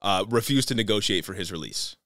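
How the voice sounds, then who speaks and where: A young man in his twenties co-hosting a casual book review podcast, laid-back and low-key as he recaps the plot, on a boom mic in a small home studio. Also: expressive, fairly quick, fairly bright tone, dry recording. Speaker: podcast co-host. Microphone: boom mic on stand.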